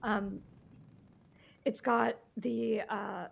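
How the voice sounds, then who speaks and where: A woman narrating a tabletop game as game master, describing scenes in a halting way with many fillers. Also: mid-range voice, steady tone, vocal fry.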